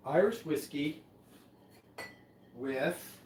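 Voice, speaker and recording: A man's voice: fairly high pitch, very slow, darkish tone, distant mic, a little hiss or hum. Chatty man in an echoey room. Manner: rambling, chatty, many restarts. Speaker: livestream host mixing drinks. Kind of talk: casual live chat.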